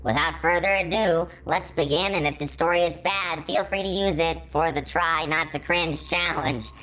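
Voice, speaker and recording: A woman's voice: low voice, normal speed, a bit bright, rough recording. A woman hosting a story reading, narrating fan fiction and adding her own commentary. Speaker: story reader, channel host.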